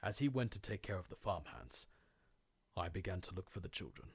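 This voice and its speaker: A man's voice: sits low, sing-song, brisk, creaky, husky, dry recording, full character voice. A man playing a tormented, guilt-ridden diarist sinking into madness, in an intense, formal horror-game monologue. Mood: fearful, tense. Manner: theatrical, formal old-fashioned diction, intense. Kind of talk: dramatic monologue, video game horror fandub.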